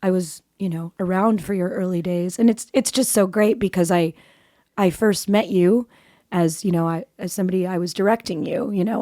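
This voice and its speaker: A woman's voice: mid-range voice, mellow, no room sound, studio quality. A woman in her forties hosting a podcast, chatting casually in a scratchy voice left by a cold, rambling with many fillers and restarts. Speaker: podcast host. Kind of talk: casual chat.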